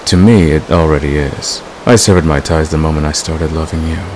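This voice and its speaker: A man playing a devoted, manipulative villain in a romantic ASMR roleplay, speaking with deliberate, possessive intensity. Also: a little mellow, rough recording. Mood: devoted, resolute. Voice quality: very deep, gravelly. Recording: clear background hiss.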